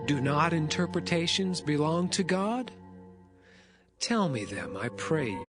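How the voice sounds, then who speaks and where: A man's voice: on the high side, animated, measured pace, music in the background. A man playing a righteous, devout young Hebrew servant in a dramatised scripture reading, earnest and formal. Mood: gentle concern.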